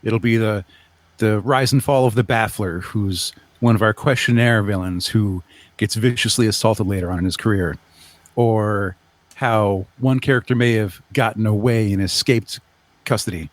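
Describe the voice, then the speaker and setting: A man with a fairly low voice speaks as an interview guest in casual conversation. He explains his work earnestly, and the sound is dry and close, with little room to it. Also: moderate pace, no room sound. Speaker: guest, audio drama creator.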